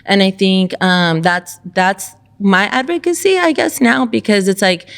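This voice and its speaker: A woman's voice: medium pitch, unhurried, vocal fry, fairly bright tone. A woman from El Salvador reflecting on success and faith in a casual podcast chat, thoughtful and full of fillers and restarts. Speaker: podcast co-host.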